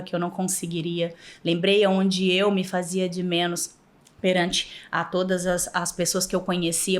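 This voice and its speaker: Animated Mineiro woman telling stories. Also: fairly low pitch. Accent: Mineiro Brazilian Portuguese. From Minas Gerais, Brazil. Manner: animated, laughs often, acts out past dialogue. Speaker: podcast guest, former flight attendant. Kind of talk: casual interview storytelling.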